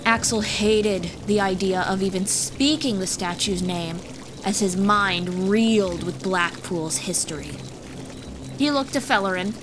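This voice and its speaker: A woman's voice: mid-range voice, background noise. A woman reading scripted fantasy story narration as the narrator of an audiobook. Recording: music behind the voice.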